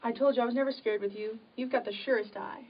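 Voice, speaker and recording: A high, earnest voice, a woman acting a timid young woman desperately in love in a stage drama. She sounds pleading and devoted, picked up dully from some distance. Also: steady tone, quick pace, lightly acted. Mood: tender, subdued. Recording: dull, distant microphone.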